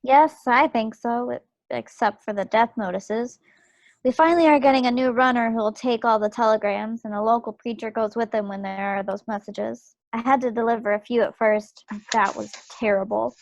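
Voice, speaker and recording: A plucky, loving young wartime wife played by a woman reading a stage play. Her voice sits fairly high, and she chats tenderly and easily. The video call sound is dry and a little dull. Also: fairly even intonation, moderate pace, vocal fry, no room sound. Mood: friendly, earnest. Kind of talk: dramatic table read of a stage play. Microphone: computer mic on a video call.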